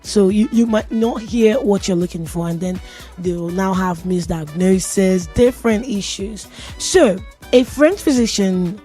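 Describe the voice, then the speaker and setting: Woman, Nigerian accent, radio host, casual and joking, medium pitch, soft music behind. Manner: chatty and playful, laughs often. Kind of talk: casual radio tech talk. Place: radio studio.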